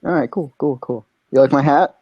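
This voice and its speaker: A man's voice: mid-range voice, brisk, a little mellow, breathy edge, no room sound, highs cut off. A man of twenty-five joining a casual chat as a guest, laid-back, slangy and self-deprecating. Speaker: guest performer.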